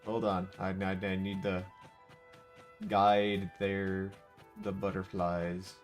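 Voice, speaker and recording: A man's voice: deep voice, monotone, slow, faint music behind. A man reacting casually as he streams a video game, in short offhand remarks.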